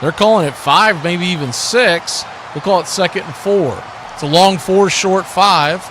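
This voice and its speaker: A man's voice: sits high, a little slow, a bit bright, slight background noise. A man calling live football play-by-play as host, in clipped, telegraphic phrases. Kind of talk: live sports play-by-play commentary.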